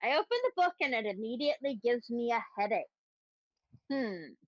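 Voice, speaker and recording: A woman playing a tabletop roleplaying game, speaking in a measured, wry way. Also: on the high side, very animated, no echo, highs cut off.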